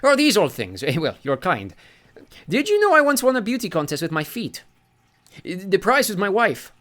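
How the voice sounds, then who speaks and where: A man's voice: high voice, wildly swooping pitch, normal speed, fairly bright tone, voice pushed up, exaggerated voice. A man voicing a vain, chirpy old sea god. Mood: delighted. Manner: chirpy, self-satisfied, breezy. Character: vain, cheerful ancient male sea god. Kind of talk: audiobook character voice.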